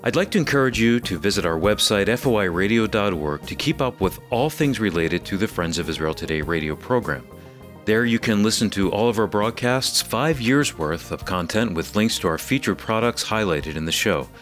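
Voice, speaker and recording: A man co-hosting a religious radio program, reading scripted announcements and news in a measured, formal way. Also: on the low side, expressive, a bit bright, soft background music.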